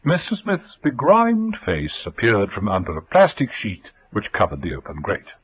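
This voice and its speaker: The narrator of an old radio adventure. His voice is fairly low with animated intonation, and he describes everything in an arch, drily humorous, knowing manner. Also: normal speed, husky, exaggerated voice. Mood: droll. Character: droll, knowing storyteller of a radio thriller.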